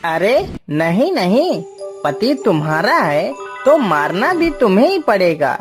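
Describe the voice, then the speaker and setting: A woman's voice: medium pitch, sing-song, a little slow, music in the background. A woman voicing a sly, coaxing village man, the villain of a cartoon tale.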